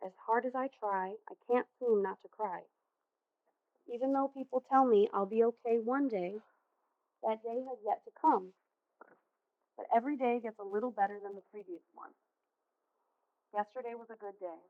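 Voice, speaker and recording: A woman's voice: on the high side, dry recording, lightly acted. Muffled, patient woman playing a female guidance counselor. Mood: wistful. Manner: gentle, earnest, measured counseling delivery. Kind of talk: amateur stage play acting. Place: theater stage. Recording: muffled, dull stage recording.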